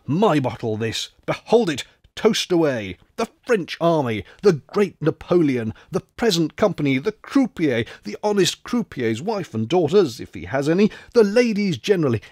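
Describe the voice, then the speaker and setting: A man's voice: on the high side, a little mellow, no echo, clean studio sound, voice pushed up. A man voicing an exuberant, slightly drunk young English gambler in an audiobook, calling out toasts. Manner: giddy, toasting, exclamatory.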